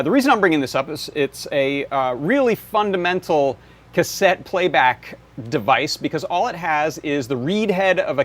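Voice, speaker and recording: Man, slightly high pitch, casual live demo, workshop host, explanatory. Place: indoor workshop.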